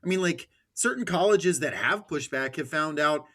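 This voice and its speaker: A man's voice: on the high side, expressive, moderate pace, pushed and bright. An American man hosting a podcast in casual political discussion, emphatic and sweary, often repeating words as he goes. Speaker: podcast host.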